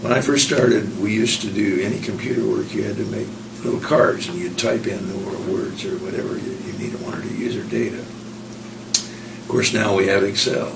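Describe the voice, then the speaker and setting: An elderly man with a low, gravelly voice reflects in an interview in a halting way, circling back mid-sentence. He is some way from the microphone in a roomy space, with clear noise behind him. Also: fairly even intonation. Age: 70s or older. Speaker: university professor of finance. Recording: roomy, distant mic, clear background noise.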